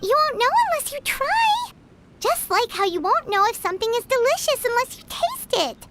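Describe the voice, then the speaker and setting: A woman voicing a small, chatty, eager girl companion in a game, with a high, squeaky voice and a teasing way of talking. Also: sing-song, normal speed, noisy background. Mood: urging. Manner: chirpy and teasing. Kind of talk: game voice acting. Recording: clean studio voice with game music.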